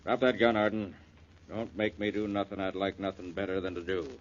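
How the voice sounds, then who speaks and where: An actor playing an unruffled small-town police chief, plain-spoken with clipped folksy phrasing, in 1940s radio drama style. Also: male voice, low-pitched, flat delivery, a little fast, very noisy, close to an everyday voice. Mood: dry, in command. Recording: muffled 1940s radio recording.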